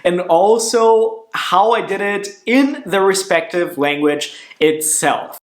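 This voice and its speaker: Young male vlogger, from southern Brazil, friendly and upbeat, slightly high voice, roomy echo. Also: slow. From Curitiba, southern Brazil. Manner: chatty, upbeat, switches languages between sections. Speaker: language vlogger. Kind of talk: casual direct-to-camera vlog.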